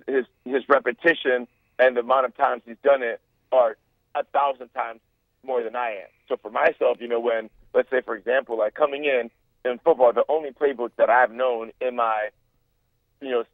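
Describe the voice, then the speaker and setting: A man's voice: mid-range voice, no echo. A young man from Zimbabwe, a guest on a talk show, answering in earnest, rambling sentences with many restarts. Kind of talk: phone-in interview. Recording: tinny phone line.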